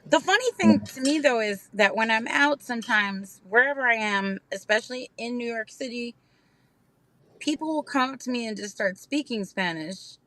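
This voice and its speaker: A woman with a bright, lively voice chats on a live stream from her parked car. She sounds fairly high and close, with almost no room sound around her. Her talk is loose and playful, full of self-mocking jokes. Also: moderate pace, dry recording, low-quality recording. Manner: joking, self-mocking, chatty. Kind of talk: casual late-night chat.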